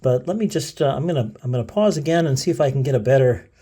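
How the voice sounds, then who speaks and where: A man with a relaxed, mellow voice of average pitch talks through a gadget demo. He is casual and unscripted, often pausing and restarting as he thinks aloud while fiddling with things. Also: breathy edge. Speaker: gadget video host.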